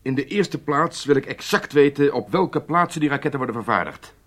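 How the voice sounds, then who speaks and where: A man playing a brisk, wary, commanding intelligence chief in a Dutch radio drama, exacting and authoritative. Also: a little high, expressive, a bit bright. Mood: decisive, commanding.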